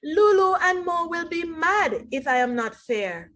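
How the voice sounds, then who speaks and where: A woman's voice: very high voice, big pitch swings, a little slow, a bit bright, voice pushed up, heavily acted. A woman voicing a greedy, fretful male cat from a storybook, in an earnest, exclamatory way. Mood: worried.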